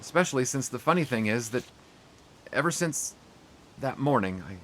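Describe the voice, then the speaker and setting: An actor playing an earnest young sportswriter in an audio drama, speaking in a halting, hushed, apologetic way. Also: male voice, mid-range voice, animated, slight background noise, lightly acted. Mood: regretful.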